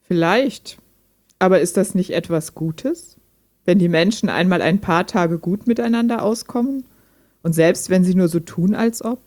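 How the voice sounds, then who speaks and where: A gentle, guarded female chatbot, acted by a woman speaks in a lowish voice with a dry studio sound. She is thoughtful and conversational, like a person in an intimate radio drama. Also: moderate pace, dry recording, lightly acted. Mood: gentle, hopeful. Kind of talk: intimate German radio play dialogue.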